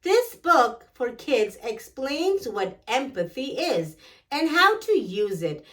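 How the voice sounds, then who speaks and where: A woman's voice: a little high, far from the mic. A woman reading a picture book aloud to children as a storyteller, instructing and putting questions directly to young listeners.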